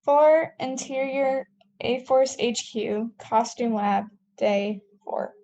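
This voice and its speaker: A woman's voice: a little high, slow, mellow, dull audio. A woman narrating scripted stage directions for a table read.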